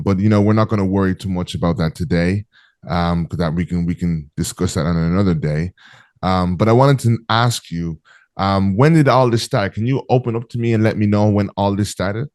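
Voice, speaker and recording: A man hosting a call-in interview show, talking in an earnest, measured way with frequent fillers. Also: low-pitched, darkish tone, no echo, studio quality. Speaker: host.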